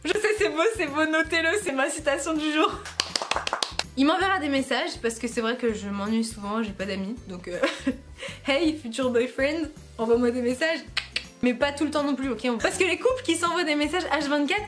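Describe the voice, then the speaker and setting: Giggly high-voiced young woman vlogging. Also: normal speed, music in the background. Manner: playful, giggly, self-mocking. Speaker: YouTuber. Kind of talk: casual vlog chat to camera.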